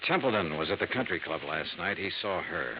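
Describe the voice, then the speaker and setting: An American actor playing a cool, probing insurance investigator in a 1950s radio noir drama, clipped and matter-of-fact. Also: male voice, deep voice, expressive, brisk. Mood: cool, pressing. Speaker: lead actor and narrator. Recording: muffled, noisy vintage radio recording.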